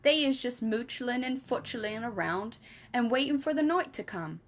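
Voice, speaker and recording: A woman's voice: normal speed, close to an everyday voice. A woman reading aloud and voicing a big, kindly giant who mangles his grammar and invents words. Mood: explaining. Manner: jumbled grammar, made-up words, booming. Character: big friendly giant, kindly, muddled speech.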